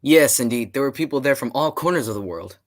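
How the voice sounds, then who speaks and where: Swooping, earnest gentleman sidekick played by a woman. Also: extremely low pitch, animated, fast talker, slight background noise. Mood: genial. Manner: earnest, exclamatory. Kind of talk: radio drama acting.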